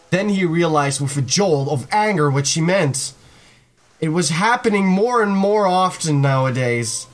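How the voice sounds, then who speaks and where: A man hosting a gaming video, reading a visual novel aloud in a theatrical, expressive way. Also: fairly high pitch.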